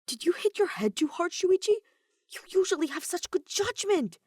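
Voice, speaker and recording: A fretful, well-mannered robot boy, played by a woman in a story reading. He is earnest and stubbornly protective, with formal phrasing and a voice pitched up from plain narration. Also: sing-song, moderate pace, a little mellow, no echo, clean studio sound. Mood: concerned. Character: fretful, earnest, polite young male robot.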